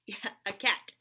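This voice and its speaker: A woman hosting a children's story time, reading a picture book aloud and expressively asking her listeners questions. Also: sharp tone.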